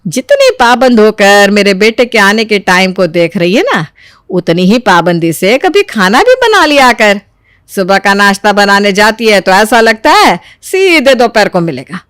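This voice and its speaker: A woman acts out a domineering middle-aged mother-in-law from a cartoon moral tale. Her voice sits fairly high and she scolds, grumbles and exaggerates. The sound is very dry, with no room around it. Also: a bit bright, clean tone, no echo. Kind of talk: Hindi animated moral story acting.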